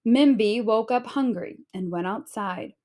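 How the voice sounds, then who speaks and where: A woman narrating a children's storybook aloud in an expressive, deliberate storytelling style. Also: on the high side.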